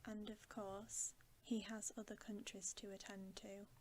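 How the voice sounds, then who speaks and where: A woman reading a bedtime story for ASMR in a soft, gentle, breathy voice that often drops to a whisper. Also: mid-range voice, level tone, slight background noise. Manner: hushed, unhurried, gentle. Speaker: ASMR reader.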